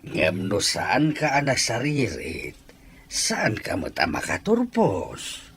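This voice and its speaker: Man as slow, swooping, kindly old grandfather. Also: mid-range voice, huge pitch swings, fairly bright tone, a little hiss or hum, exaggerated voice. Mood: fond, concerned. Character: kindly elderly grandfather, fond and concerned. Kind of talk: radio-style drama acting. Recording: faint background ambience.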